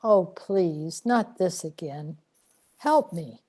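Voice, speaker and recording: A weary woman trapped in a cosmic limbo, played by an actress for a sci-fi radio drama. Her voice sits fairly low and moves very slowly, with a plaintive, complaining edge. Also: expressive, dark tone. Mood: pleading.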